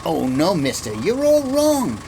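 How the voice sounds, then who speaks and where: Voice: medium pitch, huge pitch swings, measured pace, mellow. An actor playing a folksy, eager small-town gossip with ungrammatical rustic speech in a radio detective drama. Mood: gossipy, insistent. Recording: vehicle engine noise behind the voice.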